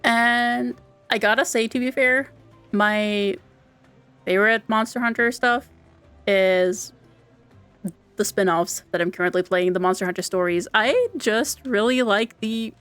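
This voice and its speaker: A woman's voice: on the high side, clean tone. A young woman from Austria with an Austrian German accent, German native, chatting casually and giggly on a game stream. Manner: chatty, giggly, halting with restarts. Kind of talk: casual live-stream chat. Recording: dry close mic, quiet game music.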